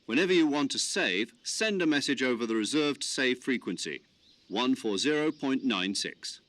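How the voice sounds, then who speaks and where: An actor playing a commanding military officer in a video game, briefing an agent in a formal, measured, authoritative way. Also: male voice, mid-range voice, animated, a bit bright, close to an everyday voice. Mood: composed, explanatory. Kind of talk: video game cutscene acting.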